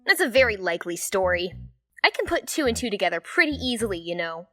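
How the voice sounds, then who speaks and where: A woman's voice: medium pitch, sing-song, fast, pushed and bright, soft background music. A woman voicing an earnest, suspicious, bossy teenage girl in a fan dub, speaking in an emphatic, lecturing way. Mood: accusing, earnest.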